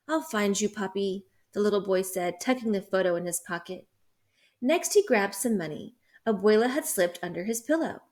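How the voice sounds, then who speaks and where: Animated woman reading a picture book aloud. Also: mid-range voice. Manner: expressive, animated storytelling. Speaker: elementary school teacher.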